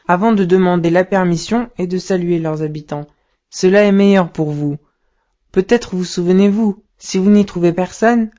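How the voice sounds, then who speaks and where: Cartoon dad, played by a woman: wise, patient, devout, measured teacher's delivery, lowish mellow tone. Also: muffled recording, close to an everyday voice. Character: calm, wise, pious father advising his young son. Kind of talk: didactic cartoon dialogue.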